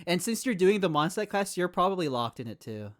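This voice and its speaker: A woman game streamer giving excitable, casual live commentary and reacting to what she plays. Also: on the low side, fairly quick.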